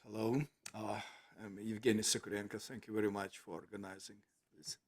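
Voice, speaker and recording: A man from Ukraine with a Ukrainian accent, giving an academic talk in a halting way with many fillers. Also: fairly low pitch, little pitch movement. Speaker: lecture speaker.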